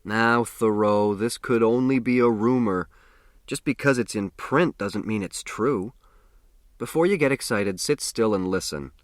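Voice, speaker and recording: A man's voice: on the low side, unhurried, no echo, clean studio sound, close to an everyday voice. A man voicing a jovial, teasing grown friend in an audiobook, with a hearty, theatrical manner.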